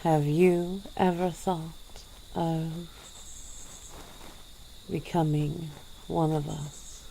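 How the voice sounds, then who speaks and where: A woman voicing a centuries-old female vampire in an ASMR roleplay, speaking in a slow, drawn-out, purring way. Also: little pitch movement, creaky, a little hiss or hum, heavily acted, low-quality recording. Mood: languid. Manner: slow, low, drawn-out purring delivery with soft laughs.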